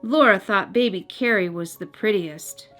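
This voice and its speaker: A woman's voice: medium pitch, moderate pace, fairly bright tone. A woman hosting and narrating a children's read-aloud, speaking expressively and directly to young listeners. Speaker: host and storybook reader. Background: music.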